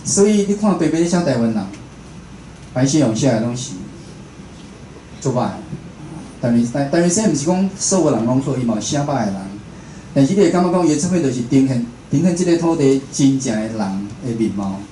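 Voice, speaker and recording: A man's voice: medium pitch, lively intonation, fairly quick, warm tone. A Taiwanese man, a radio host, giving an informal, conversational public talk. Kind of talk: informal public lecture to an audience. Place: indoor talk venue with a live audience. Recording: echoey room, clear background noise.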